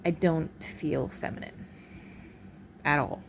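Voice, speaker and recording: A woman with a deep voice talking candidly in a personal vlog, frank and halting, with pauses and restarts, recorded at home on a phone camera. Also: fairly even intonation, gravelly, slight background noise. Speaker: vlogger. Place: at home, indoors. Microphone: phone camera, recorded in portrait mode.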